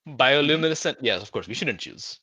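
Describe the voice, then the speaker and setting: A man in a casual group discussion, pitching ideas as a tabletop roleplay player. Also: expressive, fairly quick, sharp tone, husky, no room sound, muffled recording. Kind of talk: casual group worldbuilding chat.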